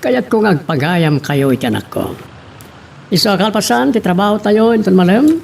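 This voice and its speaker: A man playing a genial middle-aged boss and indulgent father in an Ilocano audio drama, with a creaky voice. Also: sits high, big pitch swings, moderate pace, a little mellow, heavily acted. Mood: genial, inviting. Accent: Ilocano Filipino. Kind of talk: amateur audio drama dialogue. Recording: faint background noise.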